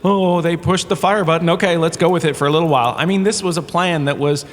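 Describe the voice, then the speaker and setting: A man's voice: on the high side, quick pace, close to an everyday voice. A man playing a glib, self-amused washed-up drama star giving a wry, conversational comedic lecture with theatrical emphasis. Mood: excited, wry. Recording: echoey cave-like reverb, ambient noise behind.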